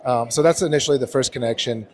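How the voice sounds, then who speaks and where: A man in his fifties from California, a bodybuilding competitor, answering interview questions in a measured way with many pauses. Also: medium pitch. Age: 50s. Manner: measured, hesitant, many "um" and "you know". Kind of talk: casual interview.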